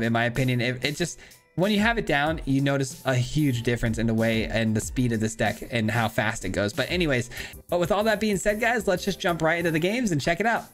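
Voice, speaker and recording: A man's voice: medium pitch, a bit bright. Upbeat young man commentating a card game. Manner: upbeat, chatty, hedging restarts. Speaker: gameplay commentator. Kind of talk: casual gameplay commentary.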